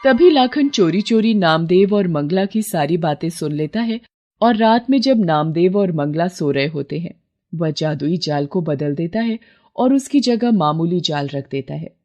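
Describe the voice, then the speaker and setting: A woman's voice: lowish voice, animated, a little slow, a little mellow, dull audio, faint music behind. A woman storyteller reading scripted narration for a moral tale.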